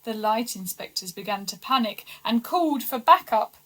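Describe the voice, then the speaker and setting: A woman reading a children's storybook aloud with expressive, deliberate, dramatic emphasis. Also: on the high side, slight background noise, poor audio. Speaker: story reader for schoolchildren.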